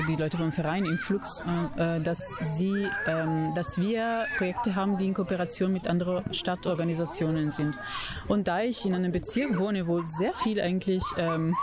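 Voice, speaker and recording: Italian woman, low voice, halting interview answers over background noise. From Italy. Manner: searching for words, many fillers and restarts. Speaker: puppeteer and interviewee.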